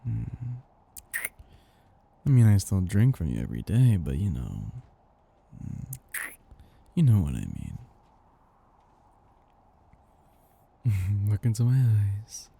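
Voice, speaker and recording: Man playing a dark, breathy vampire master. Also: lowish voice, wildly swooping pitch, exaggerated voice. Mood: teasing, commanding. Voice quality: breathy, gravelly, often dropping into whispers. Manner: slow, teasing, gently domineering, with soft chuckles. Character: seductive, possessive male vampire master. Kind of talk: ASMR roleplay, intimate scripted monologue.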